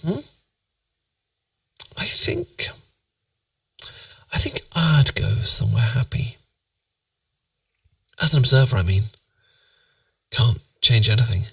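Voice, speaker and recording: Soft, creaky British boyfriend played by a man. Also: mid-range voice, animated, slow, long pauses, no echo, recorded from a distance, exaggerated voice. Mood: dreamy, musing. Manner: soft, intimate, soothing, musing, occasionally near whisper. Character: tender, playful boyfriend rambling philosophy at bedtime. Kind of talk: ASMR boyfriend roleplay, sleep aid.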